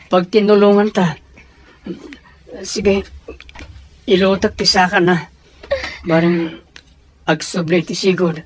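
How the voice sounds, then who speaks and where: A man's voice: high-pitched, level tone, slow pace, long pauses, a little hiss or hum. A man acting an urgent, helpful fellow who insistently urges his companions along in an audio drama. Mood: concerned. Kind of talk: Ilocano radio-style audio drama acting.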